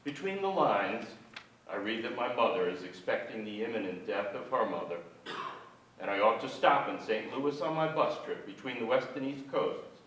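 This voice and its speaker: Reflective son, measured literary reading, mid-range pitch, very echoey, faint noise. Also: male voice, sing-song, a bit bright. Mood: somber, reflective. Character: reflective son recounting his troubled family. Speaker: actor reading a short story. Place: on a theater stage, indoors. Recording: very echoey theater, distant, noisy.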